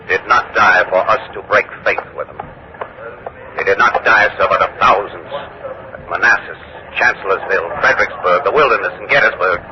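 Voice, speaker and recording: Actor as a deep-voiced, defiant young Confederate general, muffled noisy radio. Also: male voice, natural voice. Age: young adult. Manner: terse, formal, oratorical. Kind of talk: old-time radio drama acting.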